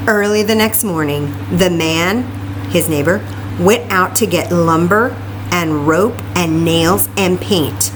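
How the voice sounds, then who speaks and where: A woman hosting a children's library story time, reading a picture book aloud with animated, exclamatory asides straight to her young listeners. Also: fairly low pitch, slow pace, background noise.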